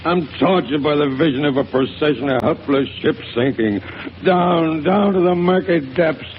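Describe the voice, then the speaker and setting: A guilt-ridden, frightened man voiced by an actor who sounds anguished and pleading, with a somewhat high voice. The sound is a dull old radio broadcast, and a faint hiss runs under it. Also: moderate pace, lightly acted. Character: guilt-ridden, frightened male ship designer. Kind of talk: 1930s radio drama acting. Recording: hissy lo-fi 1930s radio broadcast.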